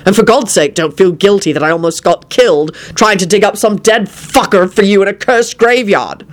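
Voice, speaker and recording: A woman's voice: medium pitch, moderate pace, natural voice. A woman voicing an irritable, sarcastic, easily offended man in a story, barking his complaints.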